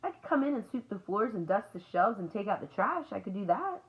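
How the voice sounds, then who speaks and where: A woman's voice: medium pitch, sing-song, a little fast, voice pushed up, low-quality recording. A woman voicing an earnest young girl in a storybook, eager, polite and persuasive. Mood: eager, pleading.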